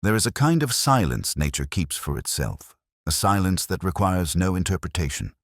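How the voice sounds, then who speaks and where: Very deep, weary older detective played by a man. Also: sing-song, no room sound, studio quality, exaggerated voice. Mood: sombre, reflective. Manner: sombre, reflective, measured. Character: weary, melancholy older male police detective narrator. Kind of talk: literary first-person radio mystery narration.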